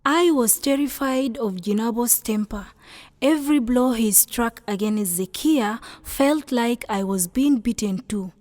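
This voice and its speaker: A woman's voice: a little high, unhurried, darkish tone, close to an everyday voice. A woman playing an anxious, compassionate young village woman in a radio drama, earnest and emotive. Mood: troubled, earnest. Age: young adult. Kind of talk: first-person radio drama narration and acting.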